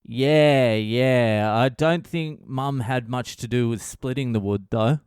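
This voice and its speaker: A man voicing a very old farmer father who gives slow, flat, unbothered answers. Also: mid-range voice, clean tone, dry recording, clean studio sound, lightly acted. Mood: dismissive.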